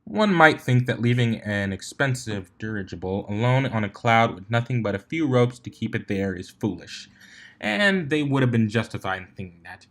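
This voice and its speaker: A man's voice: on the low side, lively intonation, normal speed. A man reading a fan fiction story aloud as its narrator, in scripted storybook style.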